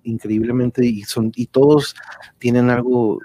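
A man's voice: medium pitch, steady tone, a little slow, mellow. A man with a Mexican accent hosting a casual family chat on a livestream, telling anecdotes in a chuckling, conversational way. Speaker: host.

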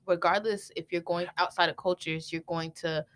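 A woman's voice: on the low side, fairly even intonation, moderate pace, a bit bright. A young woman from Africa, a guest in a casual podcast discussion, who earnestly explains her view with examples.

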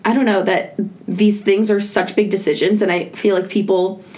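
A woman's voice: medium pitch, level tone, moderate pace, a little mellow. A woman in her early twenties hosting a podcast, chatting informally and peppering her talk with "like". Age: early 20s. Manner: chatty, informal, heavy use of "like". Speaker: podcast host. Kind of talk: casual chat.

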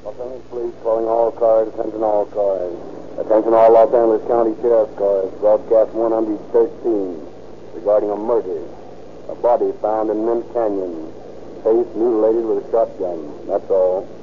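A man plays a clipped, official police radio dispatcher reading a bulletin in 1930s style. His fairly low voice comes over a thin, phone-like radio band with faint noise. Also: fairly even intonation, moderate pace, close to an everyday voice. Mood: flat, official. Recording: thin, noisy vintage police radio sound.

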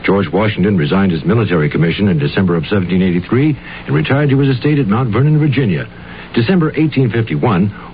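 A man announcing a radio ad, reading facts in a brisk, even way. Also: on the low side. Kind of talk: read radio ad. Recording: tinny phone-like radio sound with faint hiss.

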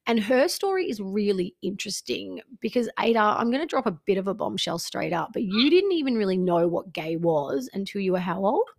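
Wanita pembawa podcast yang ceria. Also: female voice, mid-range voice, sing-song, a little fast, hardly any pauses, dry recording, studio quality. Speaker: podcast co-host. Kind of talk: casual chat.

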